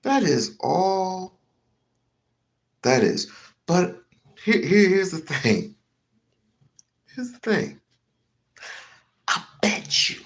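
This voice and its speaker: A man's voice: a little high, gravelly, fairly bright tone. A man hosting a heated commentary rant, slangy and swearing, repeating himself for emphasis. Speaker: commentary show host.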